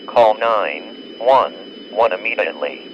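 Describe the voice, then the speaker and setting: A man voicing a formal, measured emergency alert announcer in a grim, official broadcast. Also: lowish voice, lively intonation. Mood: grave, official. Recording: muffled, noisy emergency radio broadcast.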